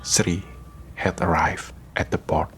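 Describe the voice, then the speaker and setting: A man narrating a scripted radio drama in a solemn, measured way. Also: deep voice, level tone, fairly bright tone. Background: faint music.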